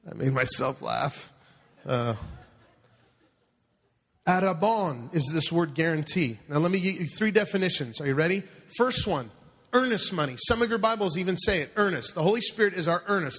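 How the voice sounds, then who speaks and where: Animated preacher with hall reverb. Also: male voice, high-pitched. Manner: animated, asks the congregation to repeat phrases. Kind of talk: sermon.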